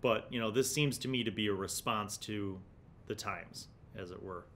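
A man's voice: normal speed, a bit bright. A middle-aged man gives casual, conversational commentary as host of a gaming review series, thinking aloud. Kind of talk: casual commentary while leafing through a magazine, reading bits aloud. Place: small indoor home studio. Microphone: desk condenser microphone in front of him. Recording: clear low background rumble noise.

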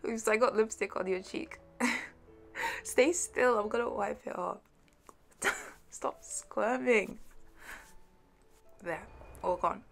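A woman's voice: mid-range voice, slow pace, vocal fry, long pauses, faint music behind. A woman playing a playful, shyly fond young best friend in an intimate audio roleplay, giggly and hesitant. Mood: affectionate. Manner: giggly, hesitant, soft trailing asides. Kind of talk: intimate audio roleplay, one side of a conversation.

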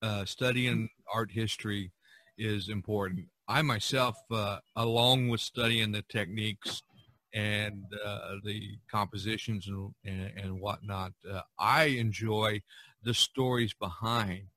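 A man hosting a podcast, talking casually about art in a halting, rambling way. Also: fairly low pitch, steady tone, sharp tone, no room sound. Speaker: podcast host.

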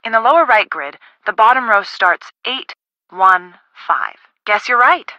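Bright, chatty young game sidekick. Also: female voice, on the high side, a little slow, no echo, highs cut off. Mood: helpful, explaining. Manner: casual, expressive, friendly. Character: upbeat, chatty, helpful young woman, the detective's friend. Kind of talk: video game voice acting.

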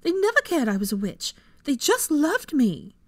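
A woman's voice: high-pitched, big pitch swings, normal speed, dry recording, pitched above the performer's own voice. A woman voicing a hot-tempered young witch in an audiobook story, indignant, exasperated and emphatic. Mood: angry, indignant.

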